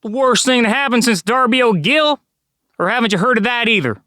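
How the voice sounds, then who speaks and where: A man's voice: on the high side, sing-song, slow pace, fairly bright tone, smooth, no room sound, studio quality, heavily acted. Man voicing a squawky indignant leprechaun. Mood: scornful. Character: grumpy little male leprechaun, fake Texas drawl.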